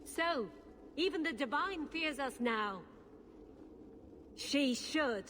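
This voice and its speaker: A fanatical, defiant rebel in a fantasy game. Her high voice is menacing and extremely slow, like a commander savoring each word. It rings through a hall with noise behind it. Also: lively intonation, fairly bright tone, exaggerated voice. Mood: menacing, triumphant. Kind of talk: fantasy video game acting. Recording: long hall reverb, clear background noise.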